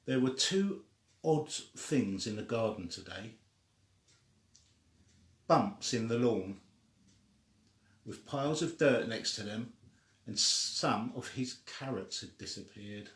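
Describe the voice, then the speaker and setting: A man's voice: mid-range voice, lively intonation, slow, pauses often. A man reading a children's story aloud in an informal, homespun way. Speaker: story reader. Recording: echoey room.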